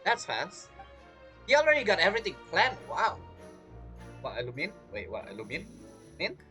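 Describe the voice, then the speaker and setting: Male game streamer, casual and rambling, bright timbre with very lively pitch, faint music behind. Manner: halting, rambling, self-interrupting. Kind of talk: casual gameplay commentary.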